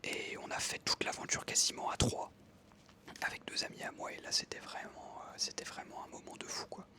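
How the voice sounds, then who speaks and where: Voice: brisk, sharp tone. A young adult whispering breathily in a casual ASMR style, chatting informally and enthusiastically while narrating gameplay up close. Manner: informal, enthusiastic gamer talk.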